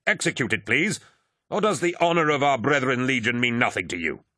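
A man's voice: mid-range voice, animated, a little fast, bright, forceful tone, dry recording, studio quality, voice pushed up. A man voicing an imperious, scornful military lord commander in a haughty, clipped and commanding manner. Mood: scornful, angry.

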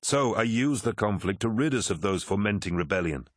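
A man voicing a commanding, wrathful warlord of superhuman warriors in a dramatic audiobook, forceful and imperious. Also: on the low side, lively intonation, a little fast, no room sound, studio quality. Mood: commanding, proud. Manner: forceful, imperious, snapping and roaring. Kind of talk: dramatic audiobook character acting.

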